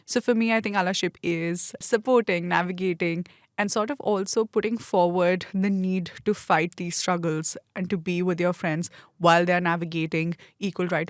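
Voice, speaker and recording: A young woman who grew up in Australia answers as a podcast guest in an earnest, articulate way. Her voice is somewhat low. The sound is dry and close. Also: no echo. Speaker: guest, university student. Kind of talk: interview answer.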